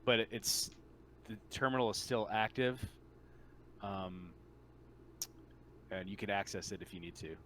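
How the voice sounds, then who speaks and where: Low-voiced man narrating a tabletop game. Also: unhurried, long pauses, a bit bright, a little hiss or hum. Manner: conversational, improvised description. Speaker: game master.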